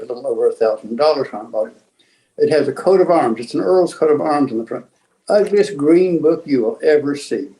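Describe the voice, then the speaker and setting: An older man telling casual anecdotes as a guest book collector, in a rambling, halting way. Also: mid-range voice, dark tone, poor audio. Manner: rambling, halting raconteur who acts out remembered exchanges. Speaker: museum founder and book collector, guest storyteller.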